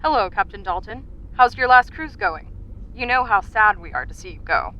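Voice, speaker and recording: A woman's voice: mid-range voice, expressive, moderate pace. A woman playing a smug, falsely sweet corporate boss over a phone filter in an audio comedy drama. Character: smug, insincere corporate boss calling the captain. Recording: muffled phone-call filter.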